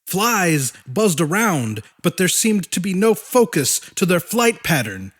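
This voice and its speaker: Slow, animated male audiobook narrator. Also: sits high. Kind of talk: dramatic scripted fiction narration.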